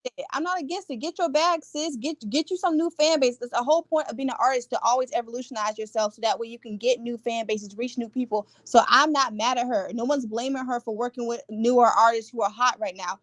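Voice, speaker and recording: A woman's voice: a little high, fast, few pauses, no echo. A woman in a casual, opinionated gossip chat, speaking with a stutter and driving her slangy points home emphatically. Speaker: gossip show panelist.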